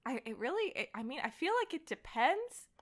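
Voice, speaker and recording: A woman's voice: high voice, sing-song, fairly quick. A woman chatting casually about comics as a podcast guest, thinking aloud as she talks.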